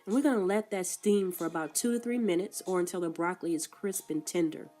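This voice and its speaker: A woman's voice: fairly low pitch, fast talker, dark tone, faint music behind. Congested woman giving cooking steps. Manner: plain step-by-step instructions with frequent coughs. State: congested from a cold. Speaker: cook. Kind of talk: casual cooking demonstration. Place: food truck kitchen.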